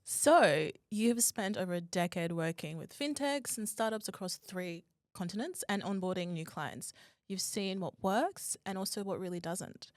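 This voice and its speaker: A woman's voice: medium pitch, moderate pace, no room sound, studio quality. A woman hosting a podcast interview in a conversational style. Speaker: podcast host.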